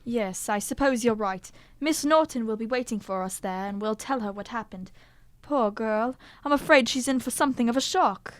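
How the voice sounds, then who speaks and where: Woman as high-voiced, proper gentleman sidekick. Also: normal speed, few pauses, slight background noise, natural voice. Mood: concerned. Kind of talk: old-fashioned detective radio drama acting.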